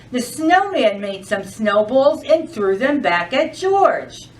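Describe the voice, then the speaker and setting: A woman reads a picture book to children in a fairly high voice. Her intonation swings widely and her delivery is unhurried and expressive, as at a library storytime. The microphone sits some way from her. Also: distant mic, low-quality recording. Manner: expressive, addresses children with questions. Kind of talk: children's storybook read-aloud.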